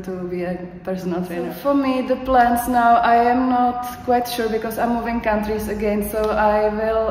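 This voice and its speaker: A woman's voice: medium pitch, a little mellow, slight background noise. A young woman with a Czech accent giving a hesitant, unscripted testimonial, full of fillers and restarts. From Czech Republic. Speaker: course graduate giving a testimonial. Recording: echoey hall with faint background ambience.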